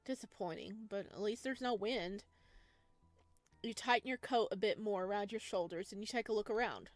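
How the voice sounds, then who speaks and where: A woman reads a horror visual novel aloud at an even pace, her voice in the middle of the usual range for a woman. She stops now and then for chatty asides and giggles. The sound is close and dry, with no room echo. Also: medium pitch, moderate pace, faint music behind. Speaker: game streamer.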